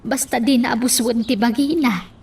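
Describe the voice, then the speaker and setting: A woman with an Ilocano accent playing a patient, indulgent older woman with a husky voice in a radio drama. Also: on the high side, normal speed, a little mellow. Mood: reassuring. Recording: slightly distant microphone.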